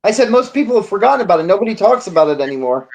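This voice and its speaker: A man's voice: sits high, big pitch swings, racing, darkish tone, slight background noise. A man co-hosting a casual sports talk show, giving emphatic, indignant opinions with conversational asides.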